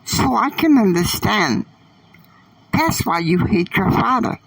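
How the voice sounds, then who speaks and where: A man's voice: high voice, animated, slow, gravelly, a bit bright, breathy edge, far from the mic, voice pushed up, heavily acted, poor audio. A man voicing a defiant teenage daughter in a raised, bratty, indignant voice. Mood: confiding.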